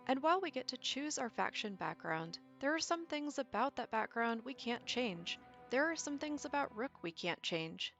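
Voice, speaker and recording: A woman giving a conversational, explanatory narration as a content creator, telling it in an animated, emphatic way. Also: a little high. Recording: voice over background music. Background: background music.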